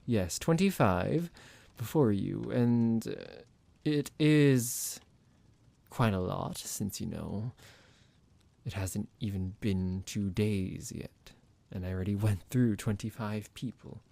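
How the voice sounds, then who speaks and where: A man's voice: fairly low pitch, very animated, takes it slowly, creaky, mellow, exaggerated voice. A man playing a psychotic vampire doctor in an ASMR roleplay, condescending and playful toward a captive, with mock-polite, hesitant, musing delivery. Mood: casual, sinister.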